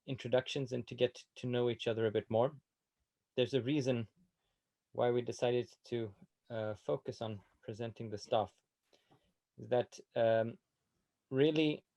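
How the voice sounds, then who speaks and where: A man's voice: mid-range voice, vocal fry, a little mellow. A man hosting a seminary open day, giving a formal welcome address with many hesitations and fillers.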